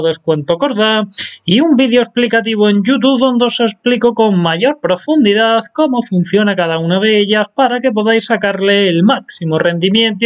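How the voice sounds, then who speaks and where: Young guy from spain doing a bargain video voiceover, high smooth voice, salesy and upbeat. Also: muffled recording. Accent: Spain Spanish. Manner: upbeat promotional sales patter. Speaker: deals channel host. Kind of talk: screen-recorded product walkthrough.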